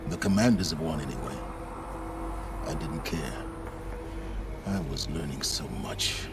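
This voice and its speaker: An actor playing a learned, calculating older man in a video game, speaking in a measured, self-assured and deliberate way. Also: rumbling low. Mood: absorbed recollection. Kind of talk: dramatic video game acting. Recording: music behind the voice.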